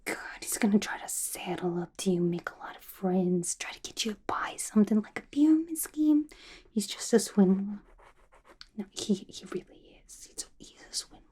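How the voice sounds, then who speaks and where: A woman's voice: mid-range voice, moderate pace, mellow, natural voice. A woman playing a playful, unhinged, gossipy female villain inmate in a chatty, conspiratorial whispered ASMR roleplay. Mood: confiding, catty.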